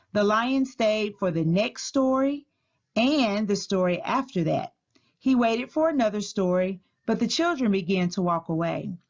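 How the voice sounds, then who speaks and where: Animated woman reading a children's storybook. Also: a little high, highs cut off. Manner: clear, deliberate, animated. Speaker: storyteller, school read-aloud teacher. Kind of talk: expressive children's storybook read-aloud.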